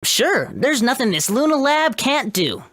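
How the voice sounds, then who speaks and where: A woman voicing an excitable, friendly little scientist creature in a video game, with a showy, emphatic delivery. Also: sits high, a little slow, dry recording, studio quality, full character voice. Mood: proud, enthusiastic. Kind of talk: English video game voice acting.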